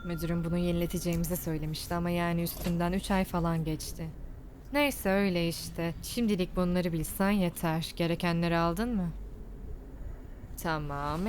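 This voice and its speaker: A woman voicing a weary, practical young shop worker in an animated comedy, casual and matter-of-fact. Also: fairly low pitch, brisk, a little hiss or hum, lightly acted. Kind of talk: animated comedy dub acting.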